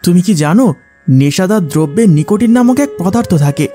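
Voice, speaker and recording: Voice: mid-range voice, expressive, normal speed, mellow, lightly acted. A performer voicing a righteous young friend who solemnly moralizes in a cartoon. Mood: solemn, preaching. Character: righteous young boys admonishing a wayward friend. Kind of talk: cartoon voice acting, preachy. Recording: music behind the voice. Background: background music.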